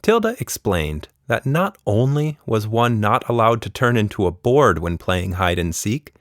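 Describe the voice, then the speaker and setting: A man's voice: lowish voice, very animated, a little slow, a bit bright, no room sound. A man hosting and narrating a children's story podcast expressively, recorded up close.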